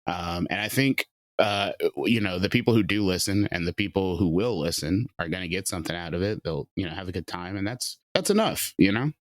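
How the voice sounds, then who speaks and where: A man's voice: low-pitched, expressive, fairly quick, dry recording. A man who writes a podcast drama, talking in a laid-back way with frequent restarts. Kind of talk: casual podcast discussion.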